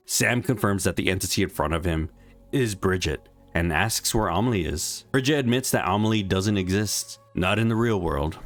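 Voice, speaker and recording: A man reading a scripted story recap in a measured, explanatory storytelling manner. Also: sits low. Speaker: narrator of a video game story recap. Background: background music.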